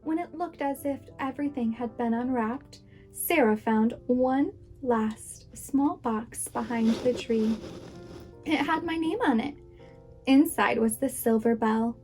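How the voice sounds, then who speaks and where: Slow, high-voiced woman reading a storybook. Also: a little mellow. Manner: dramatic, deliberate delivery. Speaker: preschool teacher reading a children's story aloud. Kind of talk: expressive storybook read-aloud. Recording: music behind the voice. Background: music.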